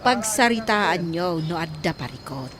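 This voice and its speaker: An actress with a Filipino accent playing a gentle, concerned older mother giving coaxing advice in a radio drama. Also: fairly low pitch, lively intonation, measured pace, crowd noise.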